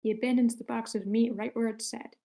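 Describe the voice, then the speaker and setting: A woman's voice: a little high, level tone, brisk, gravelly, mellow. A woman reading stage directions as the narrator of a screenplay table read.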